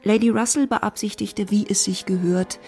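Creaky-edged woman narrating a literary drama. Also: mid-range voice, steady tone, moderate pace, darkish tone, soft background music.

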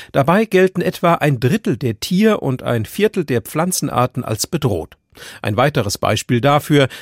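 A man presenting a science news radio segment in a measured, matter-of-fact scripted delivery. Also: mid-range voice, dry recording, clean studio sound.

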